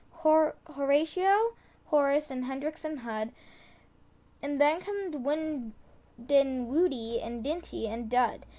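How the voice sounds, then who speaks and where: A woman reading a children's tongue-twister book aloud, deliberately, with casual asides and the odd corrected stumble. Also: high voice, a little mellow. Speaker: storybook reader.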